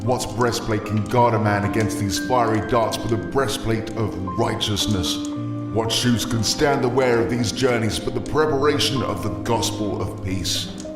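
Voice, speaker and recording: A man playing a gentle, earnest missionary priest in an audio drama, speaking in solemn, archaic oratory. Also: fairly low pitch, moderate pace, close to an everyday voice. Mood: fervent, solemn. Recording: roomy echo, music behind.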